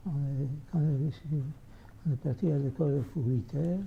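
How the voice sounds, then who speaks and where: An older man from Silesia, Poland, whose first language is Yiddish, giving halting testimony with many fillers and restarts. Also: on the high side, creaky. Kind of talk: hesitant spoken recollection. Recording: dull, slightly noisy recording.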